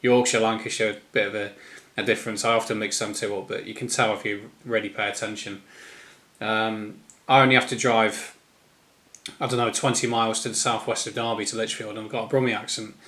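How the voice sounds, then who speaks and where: A man with an English East Midlands accent giving an informal, unscripted opinion monologue in a rambling, halting manner. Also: fairly low pitch, flat delivery, fairly bright tone. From East Midlands, England. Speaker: solo commentator.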